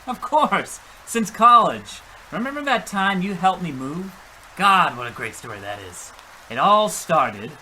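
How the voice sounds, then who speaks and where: A man's voice: sits high, very animated, unhurried, sharp tone, slight background noise, exaggerated voice, rough recording. A man playing a gullible, defensive husband who rambles through his tall story with exasperation in an old-time radio comedy. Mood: flustered.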